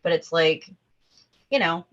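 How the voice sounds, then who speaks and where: A woman's voice: deep voice, unhurried. A woman in a casual panel discussion, speaking in an emphatic, opinionated way through slightly dull lo-fi audio. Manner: emphatic, opinionated, talking in numbered points. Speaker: table read performer.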